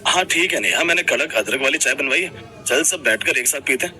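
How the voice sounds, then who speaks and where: Earnest young husband, acted by a man, forceful. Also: a little high, expressive, fast. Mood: friendly. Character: young brother and husband, partial, earnest and fretful. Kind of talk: cartoon story character voice.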